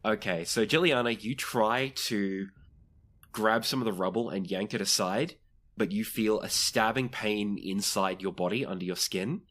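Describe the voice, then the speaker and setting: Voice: medium pitch, measured pace, fairly bright tone. A game master running a tabletop role-playing game, narrating scenes and calling for rolls in a conversational way.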